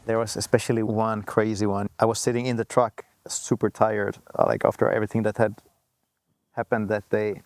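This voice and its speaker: A man's voice: fairly low pitch, flat delivery, fairly quick, a little mellow. A man being interviewed, telling his story loosely, with many restarts and fillers. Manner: loose, filler-heavy talk with frequent restarts.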